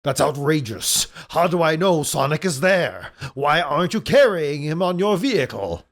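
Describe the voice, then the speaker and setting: Man putting on big pompous villain, blustering, easily outraged, theatrical comic-book delivery, widely swinging pitch. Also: big pitch swings, moderate pace. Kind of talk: amateur comic-book script read-aloud.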